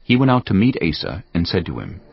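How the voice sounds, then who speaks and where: Very deep, expressive male scripture narrator. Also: fairly quick, creaky. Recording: dull lo-fi sound, music behind. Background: music.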